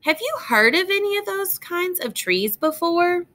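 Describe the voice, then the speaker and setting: Bright, lively woman reading aloud to children. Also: very high voice, unhurried. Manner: expressive, clearly enunciated, instructive, addressing young children. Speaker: teacher reading a picture book aloud. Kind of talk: children's read-aloud lesson with explanations and questions.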